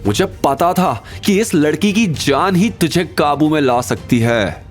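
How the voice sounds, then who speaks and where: A man's voice: on the high side, lively intonation, measured pace, soft background music, pitched above the performer's own voice. A man voicing an arrogant, vengeful villain in an audio drama, gloating and giving orders. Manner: gloating, commanding. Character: vengeful, gloating male villain, arrogant city gang boss.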